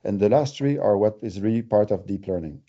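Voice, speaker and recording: A man's voice: lowish voice, fairly quick, dark tone, muffled recording. A man with a French Canadian accent, giving a conversational, explanatory webinar presentation with everyday examples. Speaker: company presenter.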